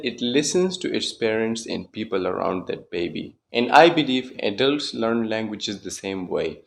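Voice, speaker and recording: A man's voice: mid-range voice, gravelly. A young man who is not a native English speaker, explaining a language lesson earnestly to camera and repeating his key points. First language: non-native English speaker. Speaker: English teacher.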